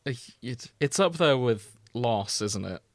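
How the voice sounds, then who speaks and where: A man's voice: mid-range voice, animated, unhurried, dry recording. A man hosting a podcast in a casual, conversational style. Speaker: podcast host. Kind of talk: casual chat.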